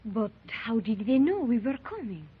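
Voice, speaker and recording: A woman's voice: a little high, sing-song, normal speed, husky, full character voice. An actress playing an anxious young woman who asks worried questions in a 1930s radio drama. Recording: dull old 1930s radio recording.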